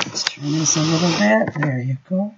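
A middle-aged woman teacher explaining simple words and reading a story aloud to young children, instructive and expressive. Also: sits low, normal speed, background noise. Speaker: preschool teacher. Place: small room at home. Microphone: built-in webcam or laptop mic. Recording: dull, lo-fi webcam audio.

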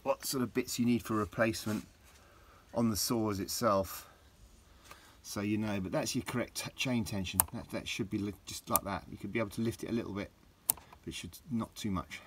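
A middle-aged man with a low voice, rambling through a casual hands-on garden demonstration with lots of restarts. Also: fairly even intonation, moderate pace, a little hiss or hum. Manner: rambling, with many restarts and fillers. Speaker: DIY vlogger. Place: outdoors in a garden.

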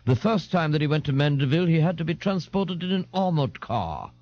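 A man voicing a pompous fake gentleman with a posh London accent, haughty and carefully enunciated, in an audiobook. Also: on the high side, lively intonation, a little fast, lo-fi sound, pitched above the performer's own voice. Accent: posh London English. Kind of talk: audiobook character voice.

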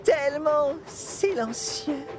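A woman voicing a gentle, earnest young male steam engine in a children's cartoon, soft-hearted and eager. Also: sits high, expressive, slow, over music. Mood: tender. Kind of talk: animated children's series dubbing.

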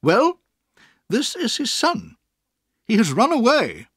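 A man voicing a strict, brisk grey-haired elderly aunt who speaks sharply and crossly. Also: extremely high pitch, wildly swooping pitch, a bit bright, slightly rough, no room sound, clean studio sound, voice pushed up, full character voice. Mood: firm.

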